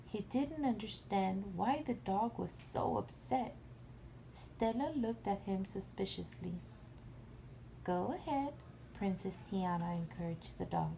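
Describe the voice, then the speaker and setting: A woman's voice: mid-range voice, unhurried, creaky, warm tone, slight background noise, low-quality recording. A woman reading a storybook aloud as a mother would at bedtime for a young child.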